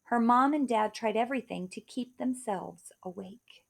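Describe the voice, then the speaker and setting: A woman's voice: on the high side, moderate pace, creaky. A woman reading a rhyming children's story aloud in a theatrical, emphatic way. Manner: theatrical, emphatic delivery of rhymes. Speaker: storybook reader.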